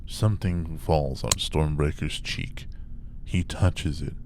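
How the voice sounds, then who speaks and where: A man playing an ominous, deadpan narrator, reading a scripted haunted mansion tale in a solemn manner for an audio drama. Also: rumbling low, slow pace, background noise, exaggerated voice. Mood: grim, ominous.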